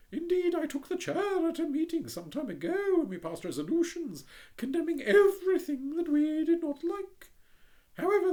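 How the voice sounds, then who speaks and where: A storyteller voicing a chatty, peaceful female duck in a very high, sing-song squeak. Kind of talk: storybook reading.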